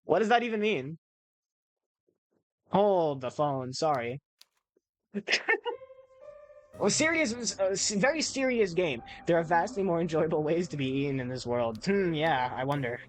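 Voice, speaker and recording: A man giving casual let's play commentary, excitable, swearing and stumbling over his words. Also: on the high side, a little slow, pauses often, a little hiss or hum. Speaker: gamer.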